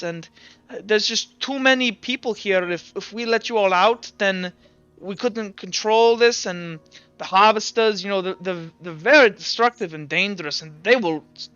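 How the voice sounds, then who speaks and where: A man voicing an evasive, reassuring doctor in a tabletop game, speaking haltingly. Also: high-pitched, bright, forceful tone, no echo, muffled recording.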